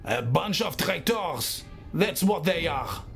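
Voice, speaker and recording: A bitter war vet in a game, gravelly, takes his time, faint music. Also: a little high, lively intonation, takes it slowly, fairly bright tone, full character voice. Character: bitter, indignant male war veteran. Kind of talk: video game dialogue.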